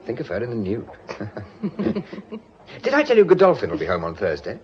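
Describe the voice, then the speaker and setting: An actor playing a flippant, bossy, debonair gentleman with an upper-class English accent in a radio drama, breezy and sardonic. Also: mid-range voice, wildly swooping pitch, moderate pace. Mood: sardonic, amused. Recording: dull, noisy radio drama recording.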